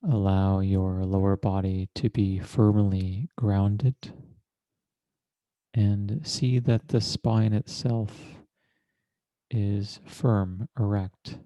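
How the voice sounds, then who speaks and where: A man leading a guided meditation as a teacher, speaking in a deliberate, measured way with long pauses and formal, precise wording. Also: on the low side, steady tone, dark tone, no echo.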